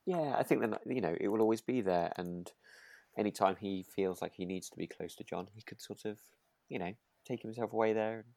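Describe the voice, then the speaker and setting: A man's voice: on the low side, brisk, gravelly, darkish tone, dry recording. A British man co-hosting a podcast, chatting casually and somewhat tentatively as he recaps a radio drama. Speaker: podcast co-host.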